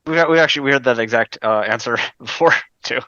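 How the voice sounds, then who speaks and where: A man's voice: mid-range voice, fast, sharp tone, no echo. A male podcast co-host asking a guest detailed, scholarly interview questions. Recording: dull dry lo-fi mic.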